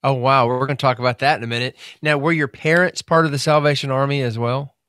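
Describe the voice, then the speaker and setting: A man hosting a podcast interview, asking questions in a folksy, relaxed way. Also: medium pitch, fast, dry recording. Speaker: podcast host. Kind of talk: casual interview.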